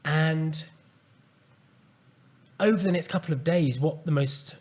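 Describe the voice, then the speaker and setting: A man hosting a solo podcast, speaking calmly and earnestly as he reflects on a personal story. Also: a little high, slow, breathy edge. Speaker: podcast host and coach.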